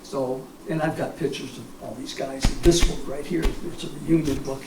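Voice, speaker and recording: An American man in his seventies, a war veteran reminiscing informally in a halting, rambling way with frequent pauses. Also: mid-range voice, fairly even intonation. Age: 70s. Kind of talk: informal reminiscence at a public talk. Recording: echoey, distant mic, clear background noise.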